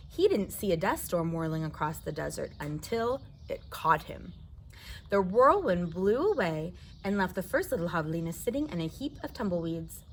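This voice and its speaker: A woman's voice: fairly low pitch, slight background noise. An American woman telling a children's story aloud, expressively and addressing her young listeners directly. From southwestern United States desert.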